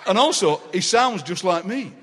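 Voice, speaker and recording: An older man with a Yorkshire accent, a guest poet telling anecdotes on a stage with quick comic impressions and frequent laughter. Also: high-pitched, lively intonation, background noise. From South Yorkshire, England. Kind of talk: live stage chat, storytelling. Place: large city hall on stage. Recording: long reverb of a large hall.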